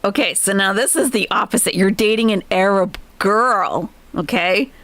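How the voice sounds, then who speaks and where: A woman's voice: medium pitch, moderate pace, a bit bright, no room sound. A woman host giving casual reaction commentary, chatty and explaining clips to her viewers.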